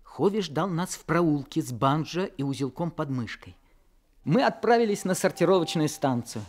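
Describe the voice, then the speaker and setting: A man's voice: a little high, expressive, normal speed, slight background noise, natural voice. An actor playing a teenage boy who narrates his own story in an old radio play. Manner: earnest storytelling. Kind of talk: 1960s Soviet radio drama acting.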